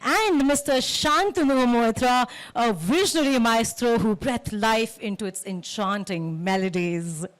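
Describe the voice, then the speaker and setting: A woman's voice: fairly high pitch, measured pace, few pauses. A woman hosting an event, reading a formal, effusive scripted introduction from the stage. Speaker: event host.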